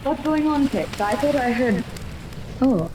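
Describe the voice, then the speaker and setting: A woman's voice: sits high, animated, measured pace. A woman playing a kindly, self-assured wealthy lady with a firm, reassuring manner in a 1930s radio serial. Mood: puzzled. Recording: noisy 1930s radio recording, rain behind.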